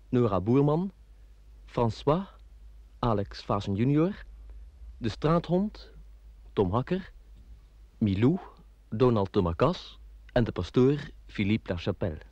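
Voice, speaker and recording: Slow, mellow male radio announcer. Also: medium pitch, dry recording. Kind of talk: formal scripted credits reading, old radio. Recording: dull old radio recording.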